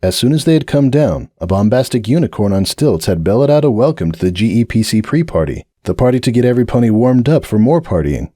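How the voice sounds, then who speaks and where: A man narrating a dramatic reading of fan fiction in an expressive storytelling manner. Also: medium pitch, quick pace, hardly any pauses, dry recording, studio quality. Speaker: narrator, reader.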